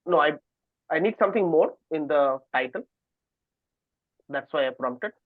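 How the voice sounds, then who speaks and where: Higher-voiced male quiz host, phone-like sound. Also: lively intonation, normal speed, no echo. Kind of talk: reading quiz questions, moderating contestants. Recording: narrow phone-like online call audio.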